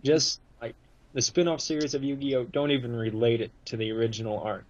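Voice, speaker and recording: A man's voice: mid-range voice, steady tone, brisk. A man hosting a podcast, chatting casually as a fan over a muffled lo-fi connection. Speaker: podcast host.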